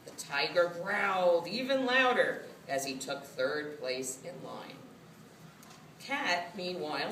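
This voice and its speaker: A woman reading a children's story as scripted narration, with an expressive storytelling delivery. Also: fairly low pitch. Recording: roomy, distant mic, faint noise.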